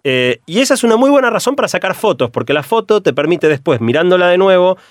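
A middle-aged man with an Argentine Spanish accent, a radio columnist explaining ideas through a steady run of examples. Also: sits high, quick pace, dry recording, clean studio sound.

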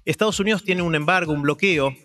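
Argentine guy on the radio, kinda high voice, chatty storyteller, music faintly under him. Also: quick pace. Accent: Argentine Spanish. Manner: storytelling, animated, with filler "eh". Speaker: radio columnist. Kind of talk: casual radio chat.

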